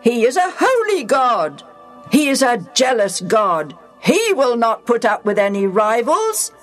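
A woman reading the part of a stern old male leader who speaks loudly and emphatically in a storybook reading. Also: fairly high pitch, pitched above the performer's own voice. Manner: loud, emphatic preaching.

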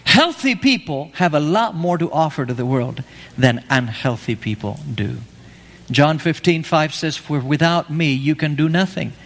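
A man's voice: fairly high pitch, big pitch swings, normal speed, fairly bright tone. A man from Argentina preaching an evangelistic sermon, emphatic and pleading, repeating his key phrases. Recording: long reverb of a hall.

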